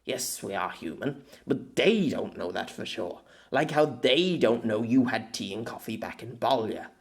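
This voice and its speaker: A weary male writer with a secret, played by a male narrator in an amateur audiobook. He speaks at a middling pitch with animated swings, dry, hesitant and exasperated. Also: measured pace. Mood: resigned. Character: weary, sarcastic male writer in hiding from another world.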